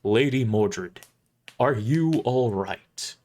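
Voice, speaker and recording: A man's voice: mid-range voice, animated, extremely slow, darkish tone. A man voicing a huge, kindly armored paladin with formal, chivalrous manners in a story reading. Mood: courteous, calm.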